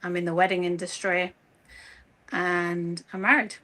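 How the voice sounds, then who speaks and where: A woman in her forties introducing herself calmly as a guest on a panel show over a video call. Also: lowish voice, measured pace. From United Kingdom. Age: 40s.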